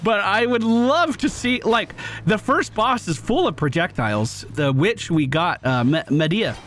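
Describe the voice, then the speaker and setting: A man's voice: sits high, lively intonation, measured pace, a bit bright, slight background noise. A man giving casual, chatty commentary while he plays a video game, now and then swearing or laughing. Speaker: gaming video creator. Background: game music.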